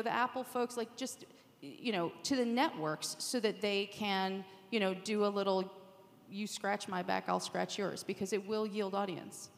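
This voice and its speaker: A woman with a mid-range voice gives direct advice as a panelist. She is conversational, adding little tag questions, with the long reverb of a hall around her. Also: medium pitch. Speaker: panelist, podcast network representative. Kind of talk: casual panel discussion.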